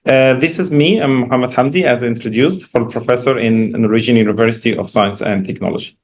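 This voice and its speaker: A man's voice: mid-range voice, a little fast, a little hiss or hum. Man lecturing in Egyptian-accented English. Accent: Egyptian Arabic. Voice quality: non-native English phrasing. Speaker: professor giving a guest lecture. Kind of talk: informal academic presentation with slides. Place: online video call.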